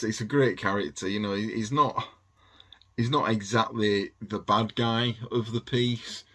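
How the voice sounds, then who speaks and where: A man with a low-pitched voice chats casually as a voice actor. He speaks off the cuff, with a jokey, conversational manner. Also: a bit bright. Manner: chatty, jokey. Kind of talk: casual unscripted talk.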